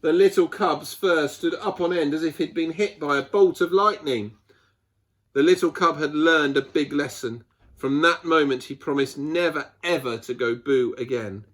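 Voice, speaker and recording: A man reading a picture book aloud to children, expressively, with dramatic pauses and emphasis. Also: fairly high pitch, a bit bright. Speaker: children's storyteller.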